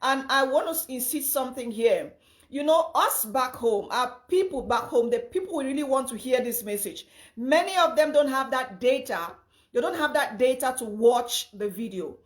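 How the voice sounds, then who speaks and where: A young woman from Cameroon with a slightly hoarse voice, hosting a casual livestream and greeting viewers by name, often repeating herself. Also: high voice, expressive, normal speed. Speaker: live chat host.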